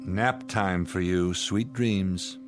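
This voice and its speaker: A man playing a weary, suspicious spaceship commander in a radio drama, gruff, curt and sarcastic. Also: low voice, very slow, faint music behind. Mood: wry dismissal.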